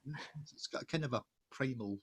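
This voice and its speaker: Husky-voiced man hosting a casual interview. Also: medium pitch, normal speed. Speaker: host, magazine editor interviewing an artist. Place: online video call.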